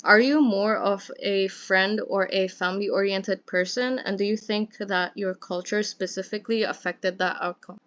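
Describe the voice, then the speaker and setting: Young woman, student interviewer, upbeat and encouraging, mid-pitched, muffled lo-fi sound. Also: fairly even intonation, moderate pace, hardly any pauses, fairly bright tone. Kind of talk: casual interview hosting.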